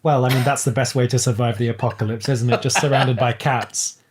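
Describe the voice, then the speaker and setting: A man chatting casually as a podcast guest, playful and expressive. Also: medium pitch, a little fast, a little hiss or hum. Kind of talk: casual chat.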